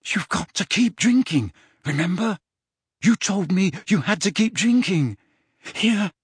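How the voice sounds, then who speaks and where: A man voicing a frightened teenage boy wizard in an audiobook, urgent and coaxing with a strained, breathy voice. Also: high voice, expressive, unhurried, sharp tone, no echo, clean studio sound, pitched above the performer's own voice, full character voice.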